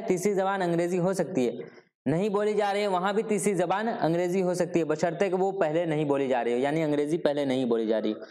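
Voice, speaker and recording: A man's voice: high voice, dark tone, in a large hall. A male teacher from Bihar, giving an exam-prep lecture and answering his own questions. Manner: repetitive, asks questions and answers them himself.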